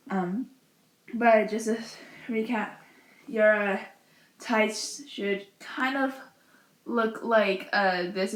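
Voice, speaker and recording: A young woman with a hoarse voice from a cold, casually talking through a craft tutorial from her bedroom. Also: mid-range voice. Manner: slow, rambling, many fillers. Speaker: cosplay vlogger. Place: bedroom at home.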